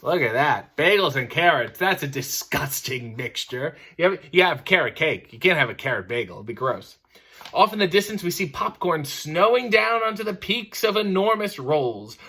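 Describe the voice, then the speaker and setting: A man reads a picture book aloud in a bright, fairly high voice. His delivery swings widely in pitch, and he keeps wandering off into dry, sardonic asides about what he is reading. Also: big pitch swings, moderate pace, breathy edge. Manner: sardonic, mock-disgusted, rambling asides. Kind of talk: bedtime story reading with comic ad-lib asides.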